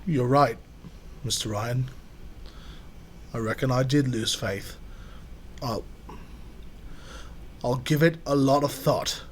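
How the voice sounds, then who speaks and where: A man's voice: medium pitch, slow pace, long pauses, slight background noise, close to an everyday voice. A man playing a meek, hesitant, disillusioned follower in a radio drama. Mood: cowed, hesitant.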